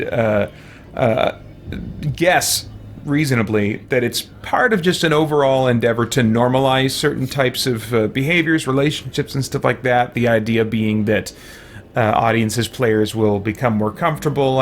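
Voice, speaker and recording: A man talking casually on a livestream as he plays a game, halting and thinking aloud. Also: mid-range voice, a little hiss or hum. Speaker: livestream game player and host. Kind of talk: casual livestream chat while playing. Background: game music.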